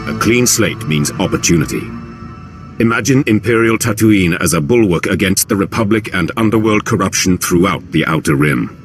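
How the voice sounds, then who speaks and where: A deep-voiced, ambitious Imperial governor of the video game kind. He speaks in courtly, grandiose phrases, like someone selling conquest as a favour. Dramatic music plays clearly behind him. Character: smooth, ambitious Imperial Moff overseeing a desert sector. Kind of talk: video game character acting.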